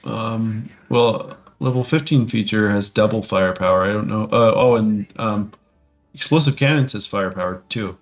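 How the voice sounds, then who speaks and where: Low, muffled man explaining rules haltingly. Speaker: podcast co-host. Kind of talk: casual tabletop game discussion. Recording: muffled lo-fi mic, faint music.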